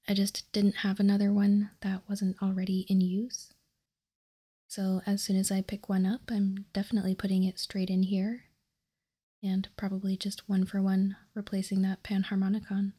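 A woman whispering softly and calmly in an ASMR style, explaining and reading out text as a host, close to the microphone. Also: mid-range voice, breathy edge. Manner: soft, unhurried, calm explaining. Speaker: host of card-game ASMR channel.

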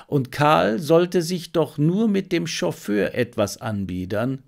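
A man reading the part of a shrewd male detective in an audiobook, brisk and decisive as he gives instructions. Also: a little high, expressive, gravelly, exaggerated voice. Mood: murmuring, impatient.

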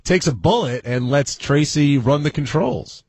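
A man's voice: a little high, lively intonation, a little slow, slightly rough, no echo. A man hosting a conversational radio discussion, setting up topics and then putting questions to the others. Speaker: radio recap show host.

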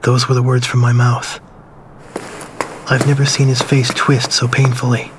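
An actor playing a man who somberly recalls his wartime childhood in measured, reflective story narration. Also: medium pitch, completely flat delivery, background noise, natural voice. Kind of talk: scripted first-person story narration.

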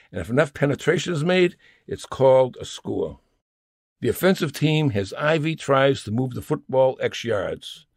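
A man with a noticeably creaky, gravelly voice reads a comic essay aloud. He is wry and mock-serious, recorded dry and close with hardly any room sound. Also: medium pitch, darkish tone, no room sound. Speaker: humorist reading own comic essay. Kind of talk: scripted humorous monologue, read aloud.

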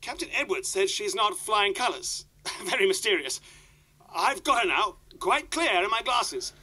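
A man's voice: high-pitched, sing-song, measured pace, bright, forceful tone, heavily acted. A man playing a 1950s live radio commentator at sea in a radio drama, urgent and exclamatory. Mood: tense, intrigued. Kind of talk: 1950s live outside broadcast commentary, acted.

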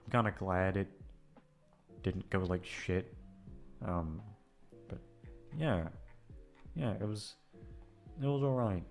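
A man's voice: low-pitched, animated, takes it slowly, long pauses, soft background music. A man rambling in casual chat to his listeners, hesitant, repetitive, sweary and self-deprecating. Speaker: audio creator addressing listeners.

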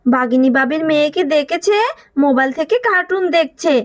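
A woman's voice: high-pitched, fairly quick, lightly acted. A woman voicing a careworn, caring but scolding animal mother in a children's cartoon, with a fussing, motherly manner. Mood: worried. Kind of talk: Bengali children's cartoon voice acting.

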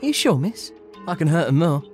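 A man's voice: a little high, sing-song, moderate pace, a little mellow, soft background music. An actor voicing brash, jeering young male toughs in a manga dub. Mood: menacing. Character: rowdy, mocking teenage boys and young thugs. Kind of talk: manga dub character acting.

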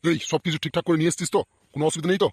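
A man's voice: a little high, little pitch movement, racing, slightly rough, no room sound, clean studio sound. A man voicing a bossy, scolding, foul-mouthed older male character in a slapstick comedy cartoon. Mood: anxious, busy. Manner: scolding, blustering, swearing.

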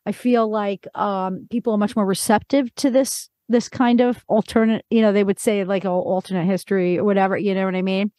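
A woman's voice: medium pitch, fairly even intonation, brisk, few pauses, dark tone, no echo, clean studio sound. A woman hosting a casual interview in an informal, encouraging way. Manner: encouraging, informal, many hedges. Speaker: podcast host.

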